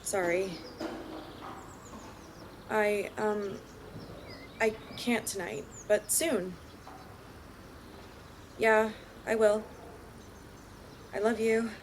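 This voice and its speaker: A woman's voice: mid-range voice, fairly even intonation, drawn-out words, pauses often, a little hiss or hum. An actress playing a private, hesitant young woman who dodges questions about her family. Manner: hesitant, evasive. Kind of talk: audio drama acting.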